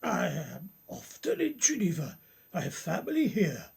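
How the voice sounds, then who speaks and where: An actor playing an older male professor in a radio play, genial and probing, with formal, measured old-world courtesy. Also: fairly high pitch, huge pitch swings, gravelly, husky, full character voice. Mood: courteous, concerned.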